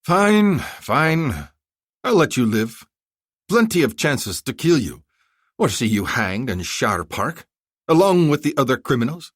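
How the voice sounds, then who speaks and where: A ruthless, arrogant army colonel, as a male narrator puts him on, with a voice pushed up high and loud above the reader's own. He barks orders and gloats in a dry, close storybook reading. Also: very animated, moderate pace, no room sound. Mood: gloating.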